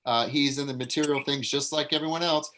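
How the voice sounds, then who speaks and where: A man's voice: a little high, brisk, a little hiss or hum. A man reading the stage directions and giving notes to the actors at a casual table read. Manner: relaxed, casual, with fillers and self-corrections.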